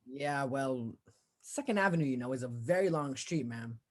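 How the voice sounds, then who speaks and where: A woman playing a weary, placating police officer in a radio drama, husky-voiced, offhand and patronizing. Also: very deep voice, animated, normal speed. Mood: skeptical. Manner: offhand, patronizing, brushing the caller off. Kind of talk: 1940s radio drama acting.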